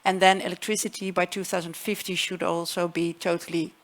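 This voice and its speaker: A woman's voice: lowish voice, level tone, a little fast. Hesitant Dutch-raised woman on a panel. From Netherlands. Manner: hesitant, many fillers and restarts. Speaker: panel guest, ministry official. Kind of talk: panel discussion on stage. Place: conference stage with audience.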